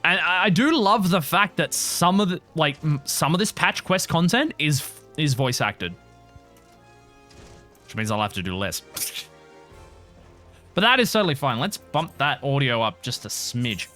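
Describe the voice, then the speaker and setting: A man's voice: fairly high pitch, sing-song, measured pace, pauses often, bright, forceful tone, soft background music. A man giving casual, rambling live commentary as he streams a game, informal and sweary.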